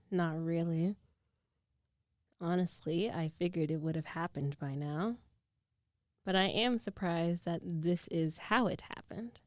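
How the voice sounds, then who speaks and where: A woman playing a concerned, teasing young ex-girlfriend in an intimate ASMR roleplay, speaking softly and haltingly into a close microphone. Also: on the low side, dry recording. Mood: wry, teasing.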